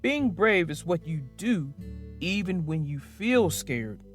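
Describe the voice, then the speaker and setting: Gator, a woman voicing a deep, wise big engine. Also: expressive, slow, soft background music. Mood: reassuring. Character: big gentle male engine, calm and wise.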